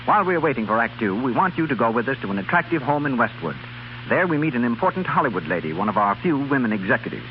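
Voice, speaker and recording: A man's voice: mid-range voice, sing-song, fast. A man announcing a 1930s radio show, reading scripted narration in a polished, presentational way. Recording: dull, hissy 1930s radio recording.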